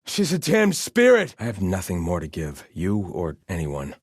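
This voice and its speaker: A proud, devout soldier living in exile in a fantasy game. His voice is on the low side and recorded dry and close. He speaks sternly and formally, with open disdain. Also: huge pitch swings, normal speed, breathy edge, dry recording, heavily acted. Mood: battle shouting, then weary, gloomy. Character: haughty, devout male Carja soldier in bitter exile. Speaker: video game voice actor. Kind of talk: video game character voice lines.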